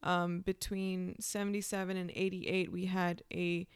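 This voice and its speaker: A woman from Pakistan, an actor, telling her story in a casual interview with thoughtful pauses and many ums. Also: fairly low pitch, flat delivery, clean tone, no echo.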